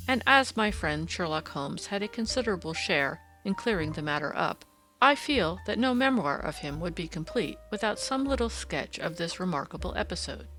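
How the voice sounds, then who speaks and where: A woman's voice: on the low side, a bit bright, low-quality recording. A woman reading a classic story aloud as scripted audiobook narration.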